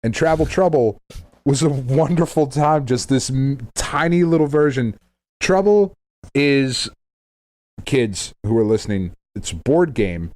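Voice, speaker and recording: A man's voice: medium pitch, lively intonation, a little slow, darkish tone, dry recording. A middle-aged American man co-hosting a podcast with casual, rambling, jokey banter and some swearing. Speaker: podcast co-host.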